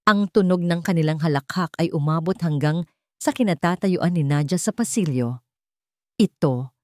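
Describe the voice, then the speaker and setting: Mababang boses ng babaeng tagapagsalaysay. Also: female voice, low voice, warm tone, no echo, clean studio sound. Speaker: story narrator. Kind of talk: dramatic scripted romance narration.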